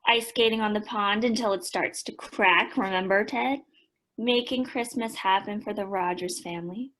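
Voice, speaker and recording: A woman's voice: medium pitch, normal speed, fairly bright tone, lightly acted, rough recording. An actress playing a spirited young woman who narrates family life in a radio play. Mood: fond.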